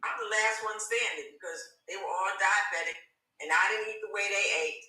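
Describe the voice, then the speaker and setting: 80 yr old woman talking with the host, bright voice, repeats words a lot, roomy echo off mic. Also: mid-range voice, brisk. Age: 80s. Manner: chatty, repeats words, earnest and laughing. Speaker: program participant, guest. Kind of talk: casual interview conversation. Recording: echoey room, distant from the mic.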